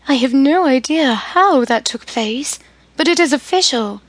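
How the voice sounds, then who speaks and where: An excitable, gentle older sister whose voice jumps up high and lively, as a woman reading a storybook puts her on. The tone stays light and bright. It is recorded close and dry. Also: a little slow, no room sound. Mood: excited.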